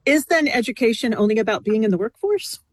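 A woman's voice: a little high, animated, quick pace, dry recording. Probing woman interviewer, dry close sound. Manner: probing, challenging, thinking aloud. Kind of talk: conversational interview questioning.

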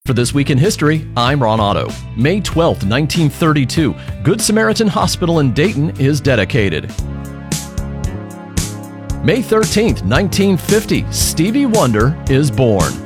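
A man's voice: on the high side, very animated, normal speed, music in the background. A man presenting a scripted this-day-in-history radio feature in an announcer-like manner. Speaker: history segment host.

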